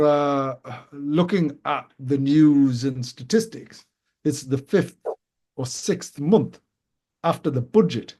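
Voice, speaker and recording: Male radio host, mellow and warm, animated and prone to restarting sentences. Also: medium pitch. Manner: animated, quick questions, many restarts. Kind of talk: casual business chat on live radio. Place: radio studio.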